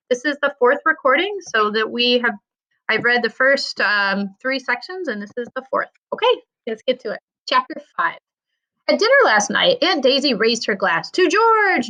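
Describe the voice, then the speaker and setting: A woman, a school librarian, reading a children's story aloud with expressive storytelling. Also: on the high side, dull audio.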